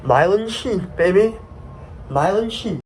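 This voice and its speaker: A man voicing a hyper, cocky nerve cell in an educational comedy skit, with an excitable swagger. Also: sits high, slow, a little hiss or hum, pitched above the performer's own voice. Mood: excited, cocky. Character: hyper, speed-obsessed oligodendrocyte cell, cocky.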